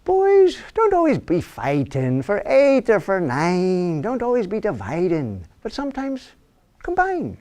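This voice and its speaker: Brief: sermonizing old parish priest, put on by a man, high and lilting. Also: huge pitch swings, unhurried, darkish tone, exaggerated voice. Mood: scolding. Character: gruff older male Irish village priest.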